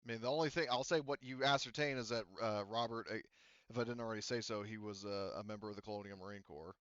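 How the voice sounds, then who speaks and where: A man running a tabletop roleplaying game as game master, speaking casually and haltingly as he improvises details. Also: fairly low pitch, fairly even intonation, a bit bright, clear, resonant tone, dry recording, dull audio. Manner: halting, hesitant delivery as he improvises.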